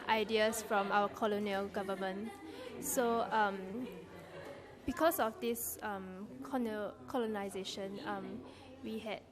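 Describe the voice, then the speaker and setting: A young woman student from Singapore answers interview questions with care, stopping often to gather her thoughts. Her voice sits in a middle pitch. A crowd chatters clearly behind her. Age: young adult. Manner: thoughtful, many "um" pauses.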